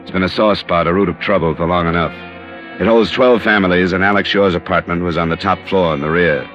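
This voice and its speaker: An actor playing a tough, dogged insurance investigator in 1950s radio drama style, clipped, deliberate and wry. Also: male voice, deep voice, a bit bright. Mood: grim, measured. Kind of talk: 1950s radio drama acting, first-person crime narration. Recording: dull old radio recording with music.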